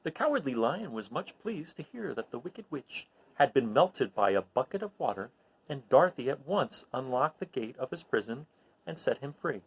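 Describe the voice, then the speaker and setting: Lively, gravelly man reading a storybook. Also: medium pitch, normal speed, highs cut off. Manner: animated, recapping with questions to listeners. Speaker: storyteller reading aloud to an audience. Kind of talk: expressive children's storybook read-aloud.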